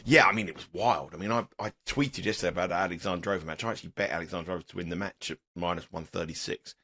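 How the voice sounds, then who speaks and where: A middle-aged man with a sore throat, sounding croaky as he talks tennis bets in a rambling, restarting way. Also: sits low, fast, bright, forceful tone. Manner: rambling, repeats words and restarts. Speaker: tennis betting analyst. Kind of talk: casual podcast chat.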